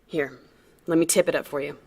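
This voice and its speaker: A woman's voice: deep voice, steady tone, fairly quick, gravelly, a little mellow, a little hiss or hum. A woman playing a loyal, frank deputy on a security team, casual and wisecracking in naturalistic audio drama dialogue. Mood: concerned. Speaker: supporting character.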